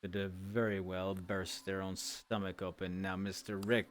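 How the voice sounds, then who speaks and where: A man playing a formal, stern fantasy town guard leader in an online roleplay, speaking in measured, old-fashioned phrases. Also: sits low, little pitch movement, natural voice. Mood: grave, deliberate. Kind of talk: in-character virtual reality roleplay.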